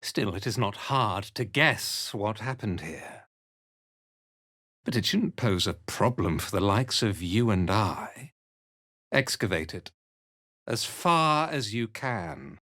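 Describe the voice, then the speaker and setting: Haughty, slow-spoken cult commander. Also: male voice, lowish voice, lively intonation, a bit bright, dry recording, studio quality, heavily acted. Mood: cold authority. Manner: disdainful, measured, theatrical, imperious. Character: cold, haughty, zealous male cult commander. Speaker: villainous military commander. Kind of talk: fantasy video game dialogue.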